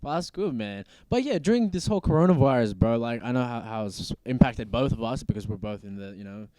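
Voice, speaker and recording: A man hosting a podcast with a laid-back manner and a mid-pitched voice, often chipping in to agree. He sounds dry and close to the mic. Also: expressive, a little fast, warm tone, no room sound. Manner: laid-back, lots of short agreeing interjections. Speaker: podcast host. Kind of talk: casual podcast chat.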